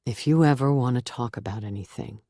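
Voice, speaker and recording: A gentle, fatherly church pastor, put on by a woman reading the book, speaks in a lowered, unhurried voice. His manner is soothing and patient. The sound is dry and close, with no room around it. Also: dry recording. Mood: gentle, reassuring. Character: warm, patient male pastor, middle-aged.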